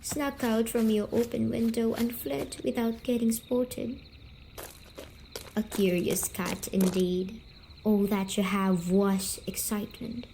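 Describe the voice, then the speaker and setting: A woman playing a gentle, teasing sleep paralysis demon, telling stories softly and soothingly in an ASMR roleplay. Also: a little high, little pitch movement, slight background noise. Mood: eerie, hushed. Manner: slow, soft, deliberate, comforting.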